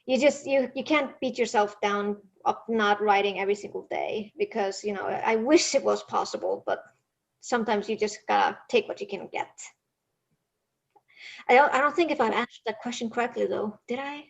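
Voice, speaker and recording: A woman's voice: mid-range voice, animated, moderate pace, creaky. A woman with an Icelandic accent, a native Icelandic speaker, chatting as a podcast guest in a rambling, self-mocking way with lots of laughter over a video call. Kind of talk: casual interview chat. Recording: dull lo-fi call audio.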